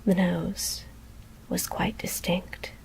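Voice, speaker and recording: A woman whispers a horror story in a hushed, deliberate ASMR reading. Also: lowish voice, level tone, vocal fry, rough recording. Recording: faint background noise.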